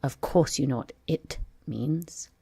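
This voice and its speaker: A woman's voice: low-pitched, takes it slowly, gravelly, dark tone, slightly rough, dry recording, full character voice. A woman voicing a cross, self-important mouse in a storybook, reciting in a formal, emphatic lecturing manner. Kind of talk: storybook character voice.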